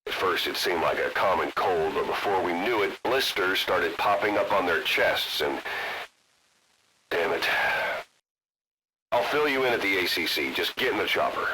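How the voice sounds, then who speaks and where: A man's voice: low voice, expressive, sharp tone, a little hiss or hum, poor audio. An actor playing a grave, urgent military deputy briefing his commander in a video game. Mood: urgent, frustrated. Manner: grave, deliberate, emphatic briefing delivery.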